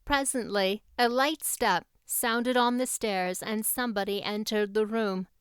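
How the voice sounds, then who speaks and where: A woman's voice: medium pitch, steady tone, unhurried, clear, resonant tone, no room sound, clean studio sound. A woman narrating a classic novel as a scripted audiobook reading.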